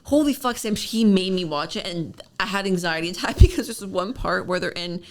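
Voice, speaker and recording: A woman in her early twenties with a deep voice, co-hosting a podcast and talking bluntly, swearing a lot. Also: animated, brisk. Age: 20s. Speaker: podcast co-host. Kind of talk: casual chat.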